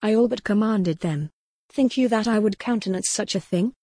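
A woman's voice: mid-range voice, normal speed, warm tone, no echo, studio quality, close to an everyday voice. A woman voicing a proud, defiant young noblewoman who speaks in an impassioned, accusing way in an audiobook. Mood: fierce. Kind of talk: audiobook character voice.

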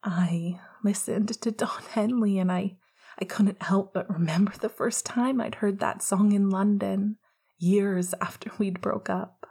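A woman's voice: mid-range voice, steady tone, a little slow, slightly rough. A woman whispering as a tender, choked-up young man. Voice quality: soft whispery delivery. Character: emotional young man fighting back tears, tender.

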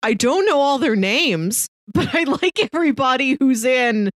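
A woman's voice: sits high, lively intonation, a little slow, no room sound, studio quality. A woman co-hosting a podcast, chatting casually about a movie. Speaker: podcast co-host.

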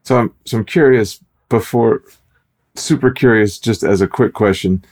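Low, mellow male podcast host. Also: normal speed. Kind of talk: casual interview.

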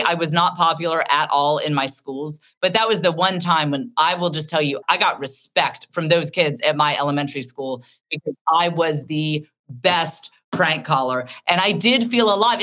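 A middle-aged woman with a deep voice talks as an interview guest. She tells frank, animated stories about herself, and her tone is bright and forceful. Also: normal speed, hardly any pauses. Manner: candid, animated storytelling. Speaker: author and comedian guest. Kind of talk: casual interview talk.

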